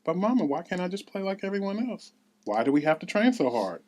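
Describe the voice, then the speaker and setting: Man putting on a higher-pitched, strong-willed little girl. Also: sing-song, a little fast, a little mellow. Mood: pleading. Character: questioning young girl, strong-willed, pleading with parent. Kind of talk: table read of a script.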